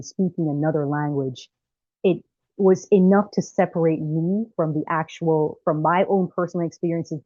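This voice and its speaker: A woman's voice: low-pitched, mellow, no room sound, dull audio. A woman from Jamaica, a filmmaker, telling her story as an interview guest in an earnest way and laughing between phrases. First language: not French.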